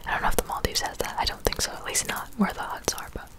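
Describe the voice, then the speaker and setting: A woman in her twenties speaking in a soft, breathy whisper, chatting casually and unhurriedly as an ASMR creator answering viewers. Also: quick pace. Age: 20s.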